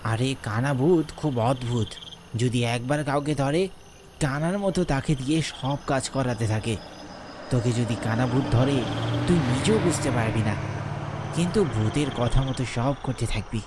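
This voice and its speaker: A man's voice: mid-range voice, unhurried, lightly acted. A man voicing a wary, self-preserving young man in a horror cartoon. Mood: eerie explaining. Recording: faint noise with night insects.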